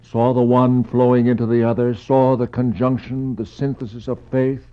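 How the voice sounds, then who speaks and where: An actor playing a solemn, intense explorer who narrates to the dead in poetic, incantatory lines, in a 1960s radio drama. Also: male voice, medium pitch, little pitch movement, moderate pace, smooth, lightly acted. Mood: probing, grave. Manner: incantatory, rhetorical questioning. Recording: muffled, faintly noisy 1960s radio recording.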